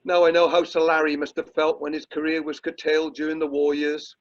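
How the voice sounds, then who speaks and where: A man's voice: a little high, little pitch movement, a little fast, close to an everyday voice. An amateur actor playing a pompous, irritable middle-aged British drama society chairman in a radio play. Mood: wistful, grand. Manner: pompous, self-dramatising, exasperated. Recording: muffled, lo-fi video call audio.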